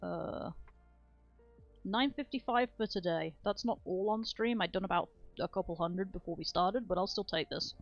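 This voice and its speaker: Rambly woman streamer, laughs a lot, swears casually, muffled lo-fi mic, soft music behind. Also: mid-range voice, normal speed. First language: not Swedish. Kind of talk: casual chat on a writing stream. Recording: dull lo-fi sound with faint music.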